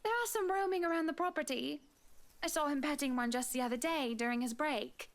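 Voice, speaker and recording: High-voiced, sweetly doting wife. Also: female voice, a little fast. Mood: sweet, coaxing. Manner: sweetly formal, coaxing. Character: doting, eerily over-attentive wife serving breakfast. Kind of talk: visual novel game voice acting.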